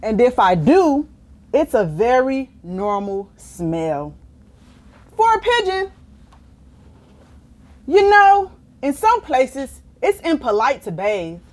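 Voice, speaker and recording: Woman putting on a high, swooping, stubborn cartoonish pigeon. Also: sing-song, slow pace, pauses often, full character voice. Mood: indignant, evasive. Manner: whiny, exaggerated protesting. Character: stubborn, dramatic, cheeky male pigeon who hates baths. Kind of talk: children's storybook character reading.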